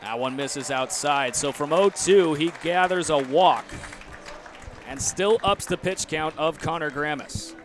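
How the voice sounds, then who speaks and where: A man's voice: on the high side, a little slow, some chatter behind. A young American man doing live sports play-by-play commentary. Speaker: play-by-play announcer. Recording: outdoor ambient noise behind commentary. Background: ballpark or arena ambience.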